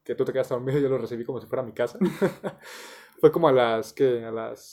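A man's voice: mid-range voice, brisk, a little mellow. A young man, an architecture student telling stories in an easygoing, casual chat. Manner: easygoing storyteller, laughs often.

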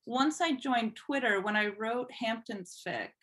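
A woman's voice: a little high, unhurried, fairly bright tone. A woman guest on a podcast, chatting informally and with some self-deprecation about her writing. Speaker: podcast guest, fan fiction writer. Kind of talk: casual interview chat.

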